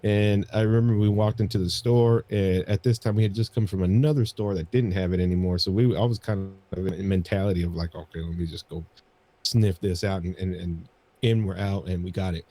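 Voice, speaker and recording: A man's voice: sits low, fairly even intonation, fairly quick, dark tone, no room sound. A man co-hosting a podcast, telling anecdotes in a casual, rambling way and often repeating his phrases. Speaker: podcast co-host.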